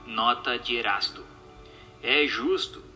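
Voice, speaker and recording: A man's voice: medium pitch, slow pace, sharp tone, soft background music. A man doing a dramatized audiobook reading in a formal, declamatory manner. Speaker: narrator. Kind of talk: dramatized audiobook reading of questions, answers and notes.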